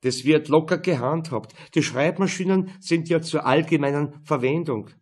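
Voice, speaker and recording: A man's voice: on the high side, normal speed, breathy edge, pitched above the performer's own voice. A man voicing an easygoing, unbothered university student son, friendly and nonchalant.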